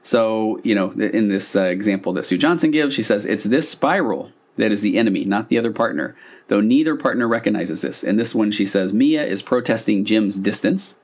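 A man with a fairly low voice speaks as a solo podcast host. He explains in an informal, conversational way, with the animated delivery of a therapist talking through examples on a studio-style mic. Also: brisk. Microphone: podcast microphone on a stand.

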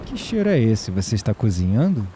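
A man acting a mellow, flirtatious Brazilian neighbour. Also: fairly low pitch, big pitch swings, fairly quick, slight background noise. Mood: teasing. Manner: playful teasing, flirtatious. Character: mysterious male neighbour, flirtatious, playful, calmly teasing. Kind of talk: audio drama dialogue.

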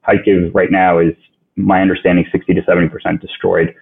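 A man from Ukraine whose first language is Russian, a podcast guest answering in a halting way that thinks aloud with many restarts, heard over a phone-line recording. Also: deep voice, little pitch movement, vocal fry. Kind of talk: casual interview answers. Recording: tinny phone-line sound.

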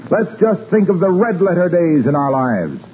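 An actor playing a cheery, eager salesman who drops in on a 1940s radio comedy with sponsor pitches. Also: big pitch swings, normal speed. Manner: smooth salesmanlike enthusiasm. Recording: dull old radio broadcast, faint noise.

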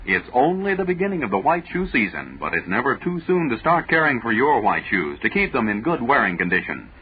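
A man's voice: mid-range voice, very animated, brisk, slight background noise. An American man reading scripted 1940s radio advertising as a network announcer, in promotional phrasing. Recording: muffled, phone-like 1940s radio recording.

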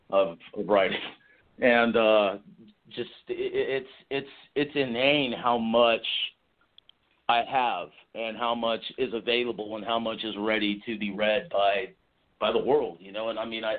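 A man in his forties from Texas, a guest author chatting in an interview, enthusiastic and rambling with many restarts. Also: fairly low pitch. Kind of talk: casual interview chat. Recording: tinny phone line.

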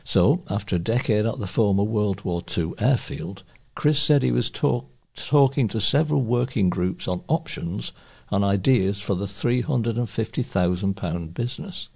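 Man, lowish pitch, rough husky tone, reading local news stories aloud, volunteer newsreader. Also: lively intonation, moderate pace, darkish tone. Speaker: volunteer newspaper reader.

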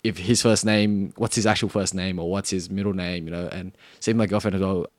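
A man's voice: low-pitched, fairly even intonation, fairly quick, a little mellow, dry recording. A young Australian man co-hosting a podcast, chatting casually and rambling with lots of fillers. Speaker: podcast co-host. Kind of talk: casual chat.

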